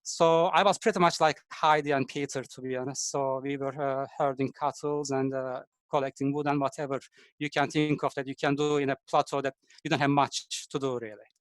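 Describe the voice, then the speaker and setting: A man from Turkey with a Turkish accent, a design engineer giving a halting, friendly online presentation full of fillers over a video call. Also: medium pitch, steady tone, clear, resonant tone, dry recording.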